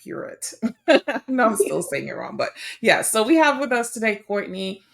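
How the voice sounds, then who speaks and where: Gravelly, bubbly woman podcast host. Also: medium pitch, sing-song, normal speed, fairly bright tone. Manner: bubbly, laughs at own slip. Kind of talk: casual interview chat.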